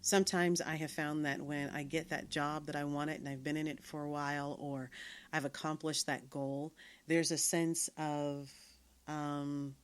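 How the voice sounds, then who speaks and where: A woman's voice: low voice, little pitch movement, a little slow, clean tone. A middle-aged woman hosting a podcast, talking earnestly in a reflective monologue with many fillers and restarts. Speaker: podcast host.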